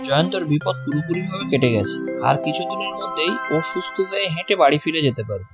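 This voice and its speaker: A man playing a brisk, reassuring village doctor in a cartoon. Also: fairly high pitch, lively intonation, over music. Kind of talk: cartoon dubbing.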